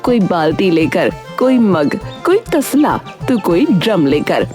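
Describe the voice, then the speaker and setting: A woman's voice: fairly low pitch, big pitch swings, slow pace, a little mellow, faint music behind. A woman narrating a scripted comic story as its storyteller.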